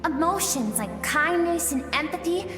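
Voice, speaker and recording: An actress playing a gentle, wistful emotional inner self of a warrior woman in a scripted game, speaking earnestly and with soft pleading. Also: sits high, animated, moderate pace. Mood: impassioned pleading. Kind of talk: scripted dramatic game dialogue. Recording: echoey hall reverb with music behind.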